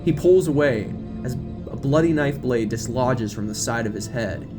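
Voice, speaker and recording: A man narrates a horror audio drama from a script. His delivery is dramatic storytelling, with music clearly playing underneath. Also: medium pitch, moderate pace.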